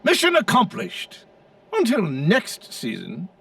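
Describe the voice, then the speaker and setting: A blustery racing airplane in an animated game. His voice is extremely high and swoops wildly, with a forceful edge and slow, drawn-out delivery. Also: gravelly, no room sound, distant mic, exaggerated voice. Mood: triumphant. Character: excitable, blustery British male racing plane. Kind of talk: exclamatory cartoon game acting.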